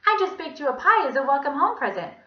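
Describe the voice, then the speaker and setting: A woman's voice: high voice, sing-song, quick pace, roomy sound, highs cut off, voice pushed up. A woman voicing a kindly, chirpy female visitor in a children's story, sing-song and eager.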